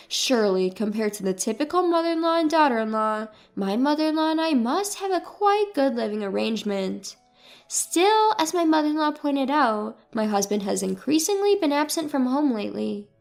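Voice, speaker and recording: A woman reading a scripted first-person dramatic story as its narrator. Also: high voice. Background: music.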